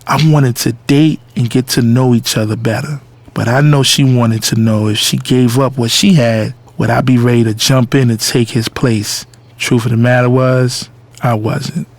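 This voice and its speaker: A man's voice: medium pitch, steady tone, normal speed, no room sound, lightly acted. A man playing a cocky, lovestruck adult son, telling his own story candidly and intimately in an audio drama. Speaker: narrator and lead character. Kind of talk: first-person audio drama narration and dialogue.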